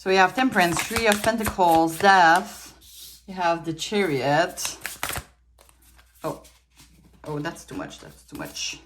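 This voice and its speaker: Quebec French woman, low-ish voice, chatty tarot reader, laughs a lot, a bit tired. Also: lively intonation, takes it slowly, long pauses, noisy background. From Quebec, Canada. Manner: chatty, laughs often, trails off and restarts. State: a little tired. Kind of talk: casual tarot reading to camera. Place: indoors at a table with trinkets.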